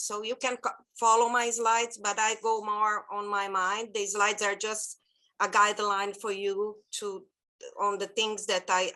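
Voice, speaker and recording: A woman's voice: mid-range voice, pushed and bright, low-quality recording. A woman with a Brazilian accent leading an online church training over a laptop video call, chatty and loose, with many fillers. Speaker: children's ministry trainer.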